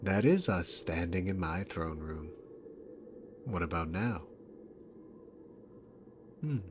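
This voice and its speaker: A deep-voiced demon king acted by a man in an ASMR roleplay, taking his time over every taunt. He is sarcastic and dominant, talking down to the listener with smug condescension. Clear background noise sits behind the voice. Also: animated. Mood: smug, condescending. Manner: mocking, condescending, unhurried, punctuated by chuckles.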